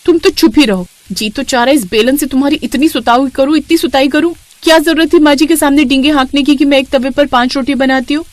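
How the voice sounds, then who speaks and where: A woman's voice: high voice, steady tone, a little fast, hardly any pauses, no echo, pitched above the performer's own voice, natural voice. A woman voicing an exasperated, sassy young newlywed daughter-in-law who protests plaintively in a cartoon story.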